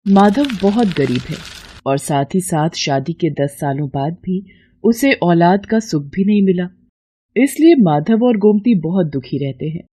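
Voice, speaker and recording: A woman's voice: lowish voice, expressive, a little slow, warm tone, slightly rough. A woman narrating a scripted moral story as its storyteller.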